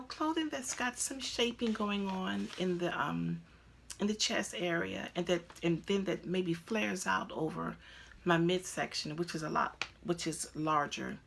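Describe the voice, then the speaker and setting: A woman's voice: fairly low pitch, vocal fry, fairly bright tone, a little hiss or hum. A woman in her fifties hosting a crafting podcast, chatting casually at home with lots of ums and restarts. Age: 50s. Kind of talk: casual chat to camera. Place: at home, indoors.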